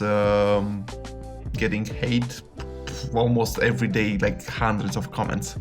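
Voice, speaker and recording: Guy in his late 20s vlogging, kinda low voice, stumbles and repeats himself, music playing under it. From Romania. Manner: rambling, repeats words while searching for them. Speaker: YouTuber. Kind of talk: casual direct-to-camera vlog. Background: background music.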